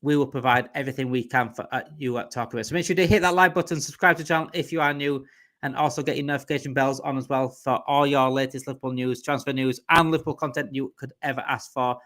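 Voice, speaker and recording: A man's voice: medium pitch, steady tone, fast, a bit bright. A man from Yorkshire with a Yorkshire accent, hosting a casual live fan stream, rambling and repeating himself as he reads out viewer comments. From Yorkshire, England. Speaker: livestream host.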